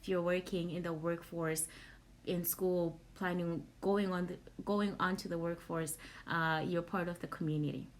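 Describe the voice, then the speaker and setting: A young adult woman from Zimbabwe hosting a casual live question-and-answer session, informal and halting, repeating words as she thinks. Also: on the low side, fairly even intonation, a little hiss or hum. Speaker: platform host answering audience questions.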